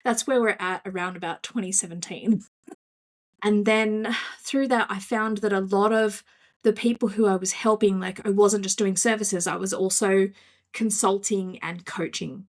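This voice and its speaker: An Australian woman speaking as a podcast guest in a mid-pitched voice. She tells her story in a chatty, self-deprecating way, with plenty of fillers. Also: fairly even intonation, moderate pace. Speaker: guest, marketing coach. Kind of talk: podcast interview.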